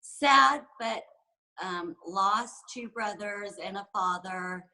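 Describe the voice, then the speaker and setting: A woman's voice: medium pitch, slow pace, pushed and bright, low-quality recording. A woman in her forties from San Francisco, talking candidly and reflectively as an interview guest, with frequent fillers. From San Francisco, USA. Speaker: guest executive and entrepreneur. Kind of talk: conversational interview.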